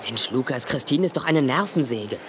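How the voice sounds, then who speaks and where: A man's voice: mid-range voice, lively intonation, moderate pace, fairly bright tone, slight background noise, close to an everyday voice. A man playing a mocking young pop singer. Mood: scornful. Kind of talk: 1980s children's radio play acting.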